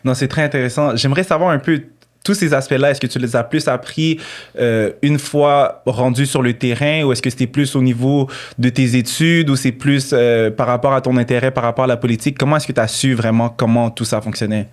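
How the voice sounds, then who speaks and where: A man's voice: mid-range voice, fairly even intonation, fairly quick. Attentive male podcast host. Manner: attentive, frequent short acknowledgements. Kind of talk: interviewing, short backchannels.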